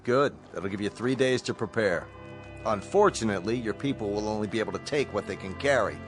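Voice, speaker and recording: An actor playing a courteous, businesslike colonel in command of a rescue spaceship, measured and official, in a radio drama. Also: male voice, fairly low pitch, lightly acted. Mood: brisk, official. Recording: radio drama with faint music behind.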